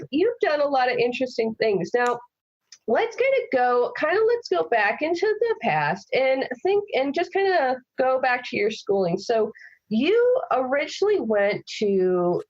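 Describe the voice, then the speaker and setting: A woman hosting a podcast interview, chatting casually and gushing with excitement. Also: high voice, breathy edge, lo-fi sound. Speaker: podcast host.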